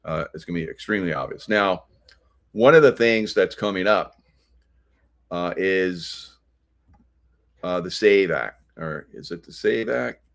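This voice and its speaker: A man with an American accent and a low voice talks through political commentary as a host. His delivery is halting and rambling, clearly unscripted. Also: a bit bright. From United States.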